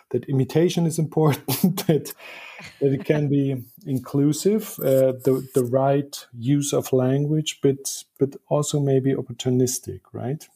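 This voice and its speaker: A man's voice: mid-range voice, dark tone, husky. A German man in his fifties, a publisher speaking English as a guest in an interview, thoughtful, often restarting and repeating words. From eastern Germany. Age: 50s. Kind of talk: conversational interview.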